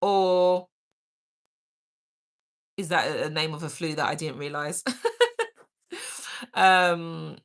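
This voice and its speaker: A woman's voice: low voice, slow, long pauses. A middle-aged woman with a British accent, hosting a livestream and chattily reading and answering viewer comments aloud. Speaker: livestream host. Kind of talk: reading and answering live chat.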